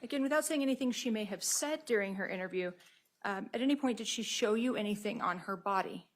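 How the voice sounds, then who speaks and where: American female prosecutor, medium pitch, measured and formal witness examination. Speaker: prosecuting attorney questioning a witness. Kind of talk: courtroom examination. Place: courtroom.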